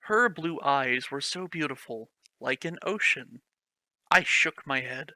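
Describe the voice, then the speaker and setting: A man's voice: mid-range voice, lively intonation, unhurried, sharp tone, no echo. A man reading a clumsy fan story aloud, mocking it with sarcastic, swearing commentary. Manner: sarcastic, swears, laughs at the text.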